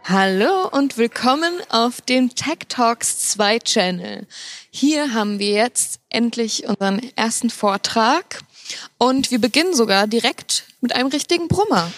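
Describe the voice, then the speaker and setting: A woman moderator introducing speakers in a casual, chatty style. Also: fairly high pitch. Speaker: event moderator. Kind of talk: casual spoken stage introduction.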